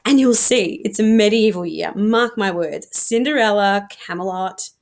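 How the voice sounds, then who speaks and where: A woman's voice: medium pitch, unhurried, close to an everyday voice. Woman reading as a dramatic teenage boy. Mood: smug, theorizing. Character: teenage boy best friend, theatrical, teasing, self-assured.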